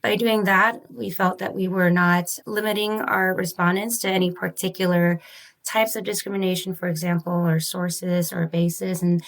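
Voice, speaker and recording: Even-toned woman explaining research. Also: on the low side, normal speed, creaky. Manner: careful, methodical, formal phrasing. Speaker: physician researcher, interview guest. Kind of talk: measured academic explanation.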